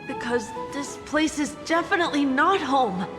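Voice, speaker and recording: A woman's voice: sits high, animated, moderate pace, big-room sound, over music. A woman voicing a proud, serious young child in a video game, speaking in an earnest and firm way. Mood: earnest, insistent.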